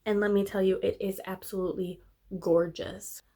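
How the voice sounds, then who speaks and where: A young woman, slightly hoarse and congested, chatting casually through a makeup tutorial. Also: mid-range voice, flat delivery, normal speed, mellow. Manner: chatty, upbeat, trailing off with fillers. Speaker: beauty vlogger.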